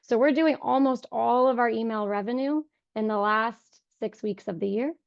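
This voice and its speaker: A young woman with a voice hoarse from a cold, giving an earnest webinar talk on fundraising with many ums. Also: on the high side, moderate pace, no echo. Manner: frequent ums, earnest and conversational. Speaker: fundraising director. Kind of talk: webinar presentation. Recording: dull lo-fi dry sound.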